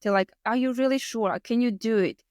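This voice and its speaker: A woman's voice: a little high, a little fast, darkish tone, no echo. Latvian-accented woman in her thirties, dry sound. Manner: non-native English with loose grammar and word order. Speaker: podcast guest. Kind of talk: casual personal storytelling in interview.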